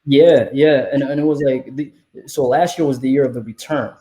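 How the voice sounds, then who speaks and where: A man's voice: medium pitch, steady tone, normal speed, dark tone. A man speaking earnestly as a guest in a casual panel discussion, often checking in with "right?". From Somalia.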